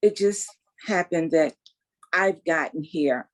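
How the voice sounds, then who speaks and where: A woman's voice: low-pitched, slow. A woman in her sixties, a guest telling her story in an emphatic, faith-filled way. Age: 60s. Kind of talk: casual interview, testimony.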